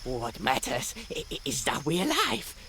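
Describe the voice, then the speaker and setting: A woman voicing a nervous forest creature in a video game, with a halting stutter, over nighttime insect ambience. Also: lowish voice, wildly swooping pitch, vocal fry, a bit bright, a little hiss or hum, heavily acted. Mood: shaken, wary. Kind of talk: video game character acting.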